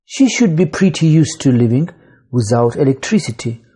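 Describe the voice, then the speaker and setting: This is a husky, mellow voice reading a grammar lesson at a slow, deliberate pace. The pitch moves a lot between phrases. It sounds like a scripted voice-over for an online course. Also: animated. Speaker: English teacher.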